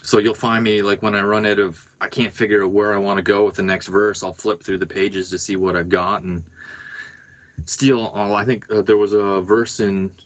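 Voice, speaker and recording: A man with a fairly low voice talks as a songwriter in a relaxed interview. He speaks haltingly, piecing his thoughts together as he goes. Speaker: musician guest, songwriter. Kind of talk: casual interview chat.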